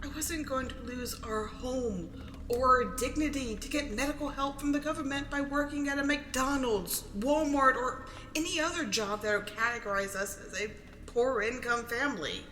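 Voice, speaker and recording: A woman's voice: high-pitched, moderate pace, bright, forceful tone. A woman playing a defensive, overburdened single mother in an audio drama, pleading and emphatic. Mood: weary, distressed. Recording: roomy echo, faint noise.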